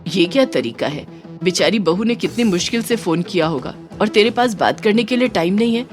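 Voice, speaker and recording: A woman's voice: mid-range voice, lively intonation, fairly quick, lightly acted. A woman plays an older mother, protective and scolding. She sounds indignant, in family melodrama style with music underneath. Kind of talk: audio story melodrama acting.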